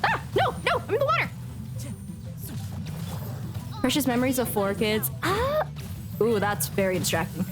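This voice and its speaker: A woman chatting casually as she livestreams a game, playful and expressive, often mock-scolding. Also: fairly high pitch, brisk. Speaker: game streamer. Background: game music.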